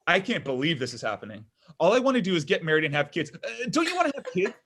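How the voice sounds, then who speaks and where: A man's voice: on the high side, very animated, fast, fairly bright tone, heavily acted. A man playing a self-absorbed, needy young man in a comedy table read, melodramatic and whiny. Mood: indignant, hurt.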